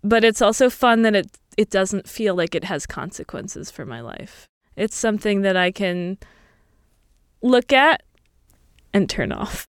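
A woman in her early thirties chatting casually as an interview guest, explaining things in a thoughtful, halting way. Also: medium pitch, animated, smooth, no room sound, clean studio sound. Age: early 30s. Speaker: podcast guest.

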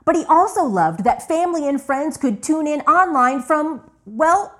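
A woman's voice: high-pitched, lively intonation, a little slow, a bit bright. A woman hosting and narrating a radio documentary from a script. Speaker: host and narrator of a radio retrospective.

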